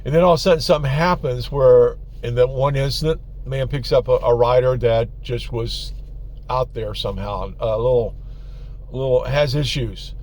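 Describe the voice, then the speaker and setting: Male host, mid pitch, casual rambling commentary, lots of "uh" and "all right?". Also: normal speed, darkish tone, a little hiss or hum. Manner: conversational, loose, many fillers. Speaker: channel host, rideshare driver.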